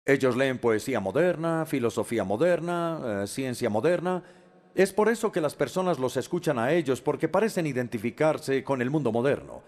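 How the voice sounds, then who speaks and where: Brief: male voice-over for a lecture, slightly high voice, lively intonation, measured with small hesitations. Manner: measured and explanatory, with hesitant fillers. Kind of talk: dubbed lecture.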